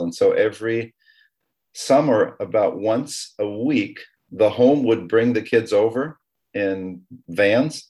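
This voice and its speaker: A middle-aged man from Taiwan telling personal stories as an interview guest, conversational and a little halting. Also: fairly low pitch, darkish tone.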